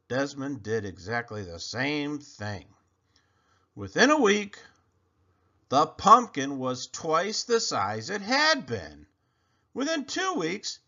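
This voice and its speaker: A man reading a children's storybook aloud in an expressive, emphatic storytelling manner. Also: on the high side, lo-fi sound. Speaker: storybook reader.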